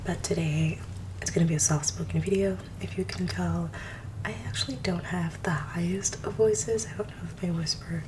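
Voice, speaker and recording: Low grainy whispering woman. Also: fairly even intonation, recorded from a distance, background noise. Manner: quick talker who stumbles on hard words. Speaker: ASMR creator. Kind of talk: soft-spoken ASMR reading and rambling. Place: at home.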